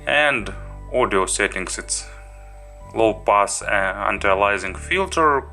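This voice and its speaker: A man giving an unscripted product review walkthrough, talking in a halting, self-correcting way as he goes through features. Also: fairly low pitch, animated, bright, forceful tone, faint music behind. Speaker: gadget reviewer.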